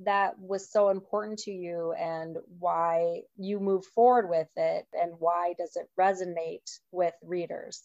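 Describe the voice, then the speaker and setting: A woman's voice: on the low side, flat delivery, a little slow, hardly any pauses, a little mellow, no echo. A woman hosting a parenting podcast, asking questions in a friendly way with small restarts. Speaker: podcast host. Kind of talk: interview host. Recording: dry, dull lo-fi sound.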